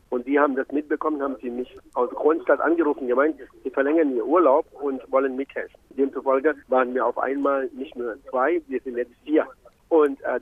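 Husky man on a tinny line. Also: medium pitch, no room sound. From Sri Lanka. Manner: earnest, rambling, with many restarts. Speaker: visiting doctor. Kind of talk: interview answer.

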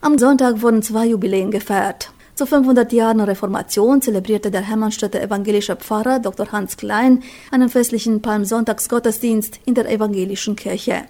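A woman narrating a scripted radio news report in an even, measured read. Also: mid-range voice.

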